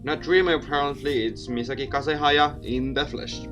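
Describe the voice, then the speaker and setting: A man's voice: medium pitch, fairly even intonation, normal speed, music in the background. A young man hosting a gaming video, reading a visual novel aloud theatrically with casual asides. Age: young adult.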